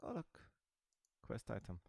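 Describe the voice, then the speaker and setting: A man's voice: a little slow, darkish tone, no echo. A man giving casual, rambling commentary over a game he is playing, with dry, sarcastic asides. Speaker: gaming video creator.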